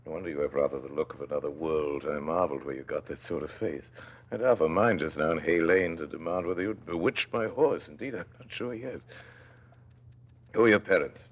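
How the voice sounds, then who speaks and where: A man's voice: a bass voice, fairly quick. A man playing a stern, brusque master of a manor in a classic radio drama, imperious and mocking. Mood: imperious, probing. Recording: muffled old radio play recording.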